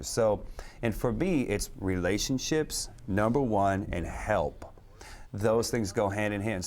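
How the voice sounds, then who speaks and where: A man from a web design company talking casually in an interview about his work and clients, in a relaxed businesslike way. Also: fairly low pitch. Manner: relaxed, businesslike, uses sports metaphors.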